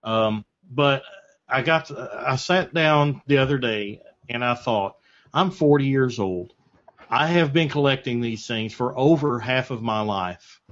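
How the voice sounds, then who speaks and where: A man's voice: medium pitch, unhurried, fairly bright tone, highs cut off. A man of forty co-hosting a casual talk show, chatting reflectively and poking fun at himself. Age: 40s. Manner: reflective, chatty, self-deprecating. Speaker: podcast co-host. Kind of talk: casual panel chat about collecting.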